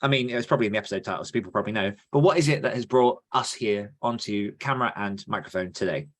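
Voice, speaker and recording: A man's voice: fairly low pitch, rapid-fire. A man co-hosting a podcast in casual chat, talking in a chatty, rambling, self-deprecating way. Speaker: podcast co-host and author.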